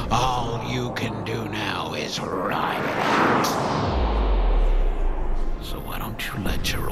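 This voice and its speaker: Creepy male horror host, deep and creaky, menacing, theatrical audio-drama storytelling over loud music. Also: exaggerated voice. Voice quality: gravelly, breathy, whispery in places. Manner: slow, menacing, insinuating. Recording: music behind voice.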